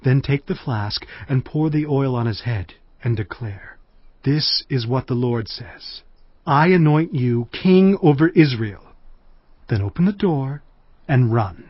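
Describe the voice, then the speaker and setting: An actor playing an urgent commanding prophet. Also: male voice, medium pitch, animated, a little slow, no room sound. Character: old male prophet giving urgent orders. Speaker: Elisha. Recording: dull lo-fi audio.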